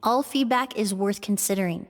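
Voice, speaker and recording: An actress playing a composed, thoughtful young female perfumer in a video game, measured, polite and explanatory. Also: medium pitch, close to an everyday voice. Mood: gentle, polite.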